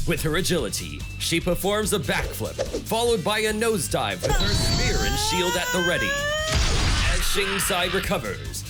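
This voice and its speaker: A man narrating a scripted action story in a dramatic style. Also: fairly high pitch. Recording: music under the voice.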